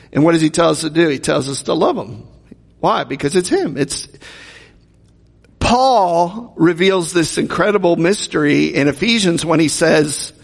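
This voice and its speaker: A man's voice: on the high side, creaky. A man preaching a sermon in a conversational way, asking the congregation rhetorical questions. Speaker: pastor. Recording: long hall reverb.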